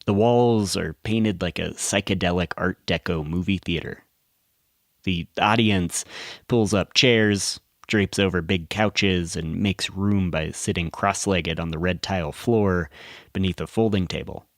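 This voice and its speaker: A man narrating a scripted documentary podcast in a measured, storytelling way. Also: low-pitched, no echo, clean studio sound.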